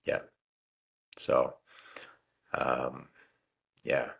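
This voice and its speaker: A man co-hosting a podcast about fatherhood, talking in a relaxed, rambling way with frequent restarts. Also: rumbling low, drawn-out words, gravelly, breathy edge, highs cut off. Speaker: podcast co-host. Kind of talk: casual podcast chat.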